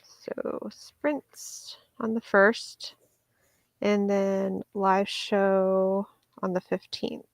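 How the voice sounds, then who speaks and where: Female book club co-host, relaxed and agreeable, medium pitch, dry close recording. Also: extremely slow, creaky, no echo. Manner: agreeable, short replies, plans aloud. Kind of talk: casual book discussion.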